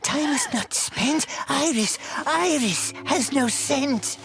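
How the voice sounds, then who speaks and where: A man playing a small, wretched, obsessive game creature with a gravelly voice, muttering erratically to himself in broken grammar. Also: very high-pitched, slow, full character voice. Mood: wistful, coaxing. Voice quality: creaky, gravelly. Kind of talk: video game character acting.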